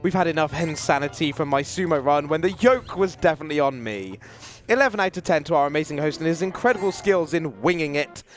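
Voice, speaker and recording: A male host with a fairly high voice presents a gaming marathon. He reads out donation messages in a presenter's style, with soft game music in the background. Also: expressive, fast talker, hardly any pauses. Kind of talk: event hosting, reading out messages.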